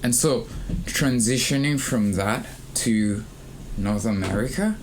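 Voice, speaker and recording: A young man from Kenya co-hosting a student podcast, speaking thoughtfully with frequent pauses and fillers. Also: medium pitch, a little hiss or hum, poor audio. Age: young adult. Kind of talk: casual conversation.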